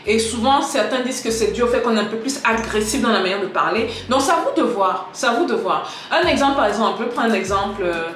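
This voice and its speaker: A woman giving a casual spoken lesson to camera, lively and direct, talking straight to her viewers. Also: fairly high pitch, fast talker, few pauses. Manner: lively, direct, addresses viewers as "les gars"; switches into English example sentences. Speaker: English teacher on a video channel. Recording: echoey room with faint music.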